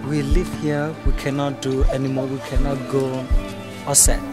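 A man's voice: medium pitch, flat delivery, moderate pace. A man, speaking broken English in a documentary interview. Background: loud music.

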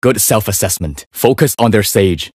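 A young Chinese male assassin from a video game with a fairly low, composed voice. He is focused and courteous yet deadly, speaking in cool, clipped, understated lines. The sound is close and dry. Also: animated, moderate pace, a little mellow, slightly rough, no echo. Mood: calm, friendly.

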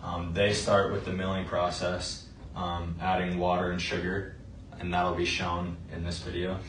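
A young man, a student, narrating a class project in a slow monotone with many ums. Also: low voice. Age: young adult. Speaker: student presenter. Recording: roomy, distant mic, faint noise.

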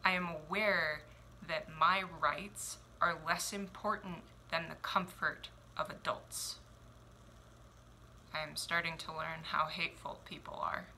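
A woman in her twenties from Oregon performing spoken word poetry at home, measured and reflective, with deliberate pauses. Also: sits low, fairly even intonation, vocal fry, pushed and bright, a little hiss or hum, low-quality recording. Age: 20s. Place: small home room indoors. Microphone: headphones and webcam.

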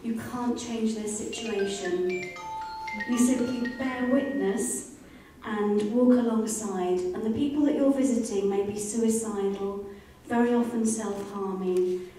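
A middle-aged woman giving a measured, earnest prepared talk as a charity speaker. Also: medium pitch, warm tone, soft background music. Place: indoor library event space with audience. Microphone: handheld microphone. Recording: very echoey room, distant, faint noise.

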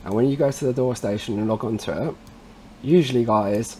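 A man presenting a casual step-by-step software tutorial in an informal way, addressing viewers as guys. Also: mid-range voice, fairly quick, slight background noise. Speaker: tutorial presenter, installer trade.

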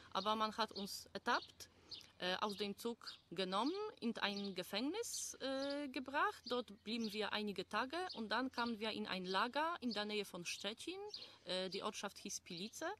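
A woman's voice: medium pitch, expressive, normal speed, fairly bright tone, slight background noise. A woman working as an interpreter in an interview, rendering answers plainly and steadily with small fillers. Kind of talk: consecutive interpreting.

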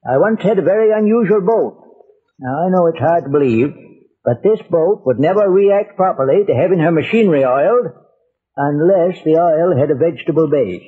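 High-pitched folksy old sea captain. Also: male voice, expressive, normal speed, breathy edge. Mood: folksy, boastful. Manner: folksy, colloquial yarn-spinning. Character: folksy tall-tale old sea captain, indignant and boastful. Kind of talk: old-time radio acting. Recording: muffled vintage radio broadcast recording.

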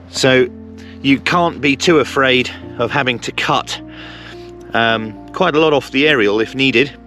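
A man's voice: mid-range voice, bright, forceful tone. A middle-aged man presenting a casual hobby tutorial, explaining step by step in a hesitant way.

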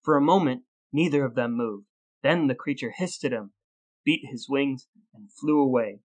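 A man hosting a podcast, telling stories and explaining in a deliberate, playfully grandiose presenter's delivery. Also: medium pitch, poor audio. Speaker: podcast host. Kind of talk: scripted storytelling and conversational explaining.

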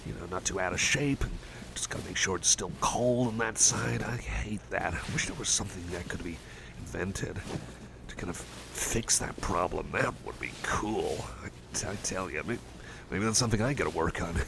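A man voicing a gentle, caring male cyborg friend in an ASMR roleplay, talking softly and hesitantly with many fillers, sometimes dropping to a whisper. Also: deep voice, moderate pace, vocal fry, noisy background. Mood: soothing. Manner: soft, hesitant and rambling, with many fillers and restarts; drops to soft whispers at times. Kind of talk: ASMR roleplay, personal attention.

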